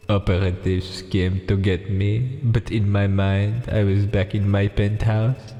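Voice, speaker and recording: A man reading a visual novel aloud for a let's play, slow and dramatic, stopping to joke with a friend. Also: on the low side, steady tone, warm tone, big-room sound. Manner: reads slowly with pauses, breaks off to joke and laugh.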